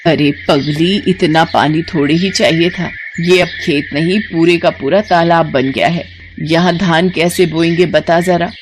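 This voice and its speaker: A man's voice: on the high side, fairly even intonation, unhurried, few pauses, background noise, close to an everyday voice. A man voicing a weary, scolding elderly village mother-in-law in a Hindi cartoon story, exasperated and chiding.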